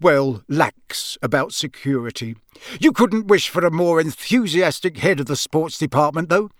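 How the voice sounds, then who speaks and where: A man's voice: on the high side, animated, normal speed, dry recording, studio quality, lightly acted. Man playing a mild, flustered wizard father.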